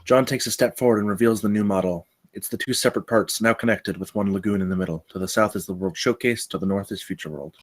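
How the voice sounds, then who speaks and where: A man's voice: on the low side, fast, few pauses. A man reading screenplay narration and stage directions aloud at a table read.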